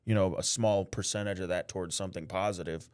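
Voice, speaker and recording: Male podcast host, very deep voice, dry sound, earnest, says "like" and "man" a lot. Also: steady tone, quick pace, darkish tone, smooth, no room sound. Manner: earnest, faith-driven, fills with "like" and "man"; shares his own experience while asking questions. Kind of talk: casual interview conversation.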